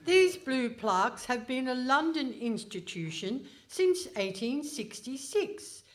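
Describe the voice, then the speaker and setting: A woman playing a haughty, pompous Iron Lady politician in a comic radio play, speaking in a stately, deliberate manner. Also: high-pitched, very animated, exaggerated voice. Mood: pompous, self-important. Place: hall stage before a live audience.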